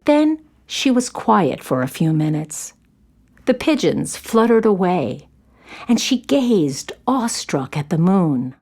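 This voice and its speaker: A woman's voice: on the low side, sing-song, takes it slowly, darkish tone. A woman narrating a scripted story for children.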